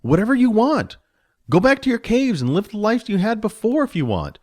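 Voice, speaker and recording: A man's voice: high-pitched, big pitch swings, fairly quick, a little mellow, voice pushed up. A man voicing an earnest, confused young man who tries to reassure others.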